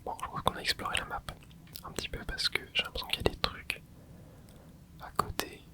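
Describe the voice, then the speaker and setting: A young man hosting an ASMR channel, softly whispering a relaxed running commentary as he plays. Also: a little fast. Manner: soft close whisper, relaxed running reactions. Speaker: ASMR gaming channel host.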